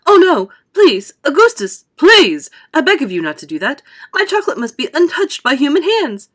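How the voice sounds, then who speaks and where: A woman voicing an excitable, eccentric gentleman chocolate maker in a storybook, fussy and exclamatory, insistently repeating himself. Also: high voice, moderate pace, pitched above the performer's own voice. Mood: alarmed pleading.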